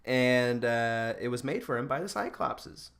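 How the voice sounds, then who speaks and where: A man's voice: medium pitch, animated, measured pace, gravelly, fairly bright tone. An American man hosting a podcast, chatting casually and jokingly as he explains things to his co-host. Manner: joking, informal.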